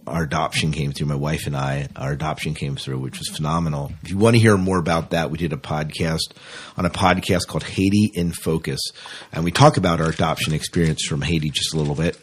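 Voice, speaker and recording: A man with a deep, somewhat raspy voice co-hosts a fan podcast. He talks in a loose, chatty way, drifting into asides as he addresses the listeners. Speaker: podcast co-host. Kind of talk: casual sci-fi fan podcast chat.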